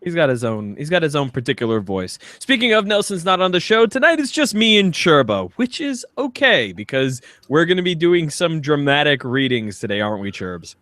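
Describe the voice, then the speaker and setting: A man's voice: a little high, sing-song, a little fast, hardly any pauses, fairly bright tone, no room sound, clean studio sound. A man hosting a comedy podcast, bantering casually and teasingly and reading things out for laughs. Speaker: podcast host.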